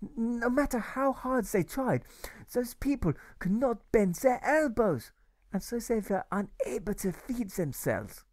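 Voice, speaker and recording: A man's voice: very high voice, very animated, unhurried, no echo, voice pushed up, exaggerated voice. Man putting on a gracious German grocer. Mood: earnest. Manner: gentle, courteous, says yeah often. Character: kindly older German shopkeeper man, gracious.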